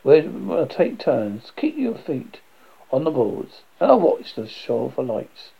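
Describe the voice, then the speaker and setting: Man as a anxious bookish young man, creaky, husky, halting, earnest, lo-fi. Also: fairly high pitch, very animated, full character voice. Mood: weary, urgent. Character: frightened, bookish young man trying to stay in control. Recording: dull lo-fi recording.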